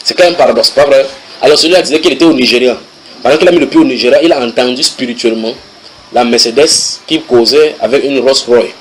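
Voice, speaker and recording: A man preaching a sermon to a congregation, reading English passages aloud and translating them with lively asides to his listeners. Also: mid-range voice, quick pace, husky, rough recording. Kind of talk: sermon teaching.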